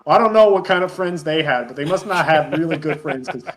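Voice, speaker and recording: A man's voice: fairly high pitch, lively intonation, fast talker. A man in his thirties chatting casually as an interview guest, telling rambling, chuckly stories. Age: 30s. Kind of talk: casual chat.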